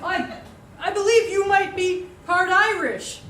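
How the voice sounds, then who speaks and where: A woman's voice: very high-pitched, measured pace, heavy background noise. A woman improvising a stressed, overworked woman at a police station, talking in a frazzled, rambling way. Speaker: improv performer. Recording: echoey stage from a distance, loud audience.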